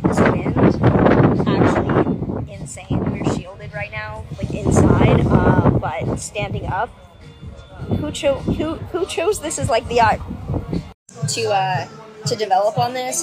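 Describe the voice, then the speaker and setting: A woman's voice: mid-range voice, poor audio. A young woman filming herself on a phone at arm's length in a holiday villa, chatting candidly and swearing freely. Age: young adult. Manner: candid, swears freely, drawn-out casual fillers. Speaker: vlogger. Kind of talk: casual vlog chat to camera. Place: holiday villa, indoors and on sunny terraces. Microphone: handheld phone at arm's length.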